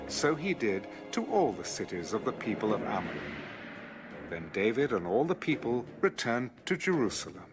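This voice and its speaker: A man's voice: lowish voice, very animated, normal speed, over music. A man giving a dramatic scripted narration of scripture for an audio Bible.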